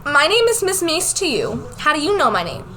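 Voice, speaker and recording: Very high, bright, sugary school nurse, acted by a woman. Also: wildly swooping pitch, a little fast, exaggerated voice. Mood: stern, alarmed. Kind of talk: amateur radio drama acting.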